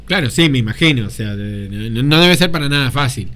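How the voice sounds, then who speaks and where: A man's voice: mid-range voice, lively intonation, a little fast, bright, forceful tone. A man in his thirties with an Argentine accent, hosting a radio interview, chatty and friendly with frequent hesitant restarts. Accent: Argentine Spanish. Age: 30s. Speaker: radio host.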